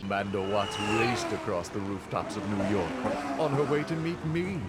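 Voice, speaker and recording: Sinister game villain-narrator: grandiose, gloating, highly animated delivery over loud ambience. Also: male voice, moderate pace, very noisy. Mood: grand, ominous. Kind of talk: dramatic scripted storytelling narration.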